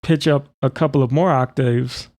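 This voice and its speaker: A man presenting a music software tutorial in a casual, informal way, thinking aloud as he works. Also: medium pitch, fairly even intonation, normal speed, no room sound. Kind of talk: casual spoken software demonstration.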